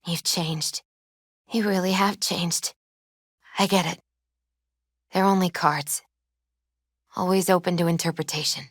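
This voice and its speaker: A gentle young woman who reads tarot, speaking in a lowish, soft-spoken voice. She is measured and earnest, with a mystical, foreboding weight to her delivery. The sound is very dry, as in game dialogue. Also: steady tone, no echo. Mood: concerned, hurt. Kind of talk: video game character dialogue.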